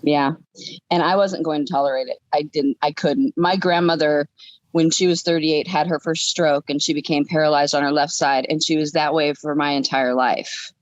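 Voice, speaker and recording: Female podcast guest, fifties, lower-pitched, emphatic conversational storyteller, slightly muffled lo-fi sound. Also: steady tone, normal speed, no echo. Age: 50s.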